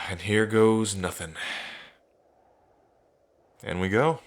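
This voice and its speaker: A cocky young dragon king, acted by a man, speaks in a low, slow voice. He is casual and playful, teasing the listener fondly in an intimate fantasy ASMR roleplay. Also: fairly even intonation. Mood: nervous, wry.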